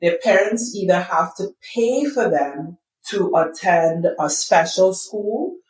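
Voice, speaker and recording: A middle-aged woman from Trinidad and Tobago with a Trinidadian accent, answering interview questions in an earnest, measured way and repeating words as she thinks. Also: on the low side, expressive, a little mellow. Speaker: guest, school psychologist and business founder. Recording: roomy sound, distant from the mic.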